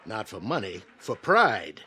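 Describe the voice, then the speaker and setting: Slow-paced, weary old barkeep. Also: male voice, on the low side, very animated, pushed and bright, exaggerated voice. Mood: chiding, weary. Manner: admonishing, world-weary. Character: weary, fatherly older pool hall owner. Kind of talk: radio drama acting.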